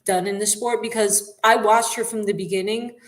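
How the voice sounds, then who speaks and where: A woman's voice: mid-range voice, moderate pace. Young woman, New York accent, boxer giving a casual interview; laid-back, laughs often. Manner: laughs often, relaxed and candid. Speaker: professional boxer.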